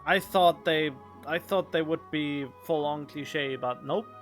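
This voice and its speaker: A man's voice: fairly high pitch, takes it slowly, soft background music. A man giving casual, playful and wry gaming commentary as a streamer. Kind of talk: casual joking commentary over gameplay.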